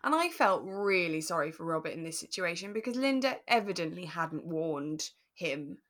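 A woman co-hosting a podcast, talking in a relaxed, thoughtful and explanatory way about a drama. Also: on the low side, moderate pace. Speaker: podcast co-host. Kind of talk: relaxed discussion of a radio drama.

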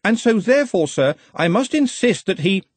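A man's voice: sits high, sing-song, normal speed, slightly rough, no echo, pitched above the performer's own voice, heavily acted. A man voicing an anxious, pedantic, long-suffering wizard's assistant in a storybook, fussy and deferential. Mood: anxious protesting.

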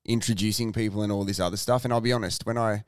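Middle-aged Australian man, booming low voice, animated storyteller, dry close mic. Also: fast talker, smooth, dry recording. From Australia (Tasmania). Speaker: guest, sports announcer. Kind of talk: casual podcast chat. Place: podcast studio.

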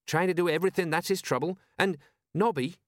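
A man's voice: high-pitched, a little fast, no echo, studio quality, pitched above the performer's own voice, natural voice. A man voicing a simple, amiable, slightly pompous police sergeant in an audiobook, with colloquial, uneducated phrasing. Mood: worried, self-important.

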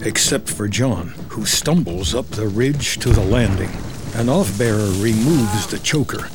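Slow-paced male screenplay narrator. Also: lowish voice. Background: music.

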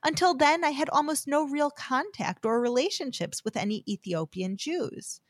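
A woman's voice: high-pitched, lively intonation, moderate pace, no echo, studio quality. An American woman giving a heartfelt, scripted devotional talk that she tells as a personal story. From United States.

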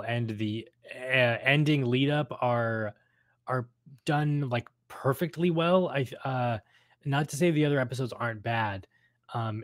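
A man's voice: mid-range voice, no echo. A man hosting a casual discussion of an audio drama, speaking hesitantly as he searches for words. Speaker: host discussing a radio drama episode. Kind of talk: casual chat.

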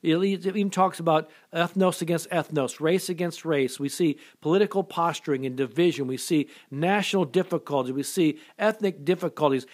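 A man's voice: fairly high pitch, a little fast, few pauses. A middle-aged man preaching an earnest sermon, often restarting and repeating words as he goes. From born in Japan, raised in America. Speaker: pastor.

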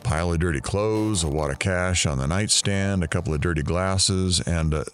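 An actor playing a dry, sardonic male investigator in an audio drama, with a wry, unhurried, matter-of-fact way of talking. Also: low voice, smooth, faint music behind, natural voice. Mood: hesitant, uneasy.

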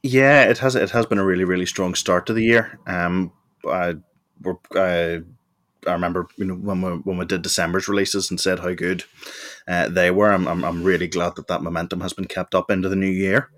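A man with a notably low voice talks casually as a podcast co-host. He speaks with emphasis, often doubling up words to stress a point. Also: fairly even intonation, fairly quick, a bit bright. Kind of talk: casual chat.

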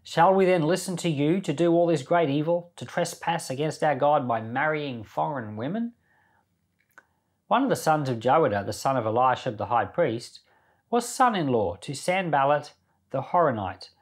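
A man's voice: a little high, lively intonation, normal speed. A man hosting a Bible podcast, reading scripture expressively and with emphasis, then commenting on it informally. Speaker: Bible podcast host and reader.

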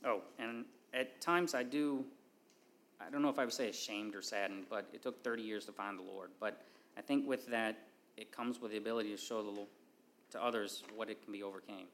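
A man's voice: lowish voice, fairly even intonation, brisk, fairly bright tone. A man giving an earnest personal testimony in church, often restarting mid-phrase.

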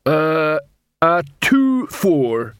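A man voicing a slow-witted, earnest troll watchman who talks in halting, simple phrases in a fantasy story. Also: a little high, very animated, clean tone, no echo, clean studio sound, voice pushed up, full character voice. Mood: puzzled, labored. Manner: halting, labored, simple speech.

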